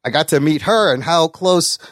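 A man with a fairly high voice, recorded close and dry. He co-hosts a loose pop culture chat and talks eagerly, swearing freely as he goes. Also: expressive, moderate pace, dry recording. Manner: enthusiastic, sweary. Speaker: podcast co-host.

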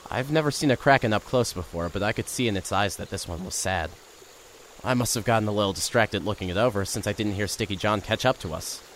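A man's voice: fairly low pitch, quick pace, fairly bright tone, slight background noise. A man narrating an audio drama in the first person and playing a nervous reporter, with an earnest, wry delivery.

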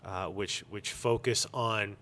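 A man's voice: on the low side, fairly even intonation, slow, pushed and bright. A man talking casually and emphatically in an interview, giving blunt opinions as a shop owner.

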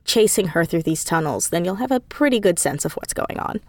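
A woman speaking clearly to listeners, explaining and announcing for an audio drama. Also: fairly low pitch, animated, fairly quick.

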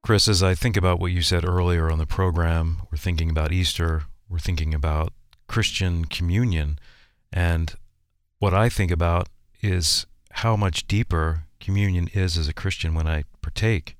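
A man's voice: low-pitched, steady tone, moderate pace, clean tone, dry recording, clean studio sound. A man co-hosting a ministry radio program, speaking in an announcer's style. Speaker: radio co-host, announcer and executive producer. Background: music.

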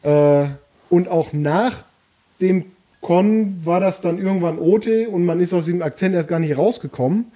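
A man's voice: sits high, moderate pace, mellow. A man co-hosting a podcast, telling stories in an easygoing way with hesitant restarts. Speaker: podcast co-host. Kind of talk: casual chat.

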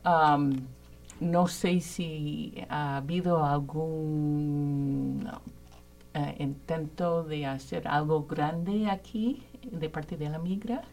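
A woman speaking as a radio guest, thoughtful and pausing to find her words. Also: deep voice, steady tone, darkish tone. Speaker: community center worker.

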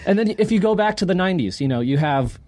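A man's voice: high voice, expressive, fast talker, darkish tone. A man co-hosting a sports podcast in casual chat, talking emphatically and repeating phrases for dramatic effect.

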